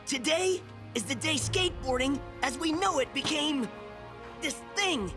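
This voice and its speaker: An actor voicing an excitable, cocky teenage boy skateboarder with a grandiose, slangy way of talking. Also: high voice, unhurried, music in the background. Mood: excited, grandiose. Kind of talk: cartoon voice acting.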